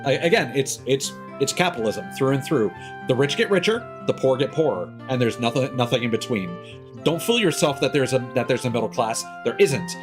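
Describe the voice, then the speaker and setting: A man's voice: medium pitch, animated, a little fast, music in the background. A man livestreaming a game and chatting casually, with a rambling, sardonic, opinionated manner. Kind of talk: casual opinionated stream chat.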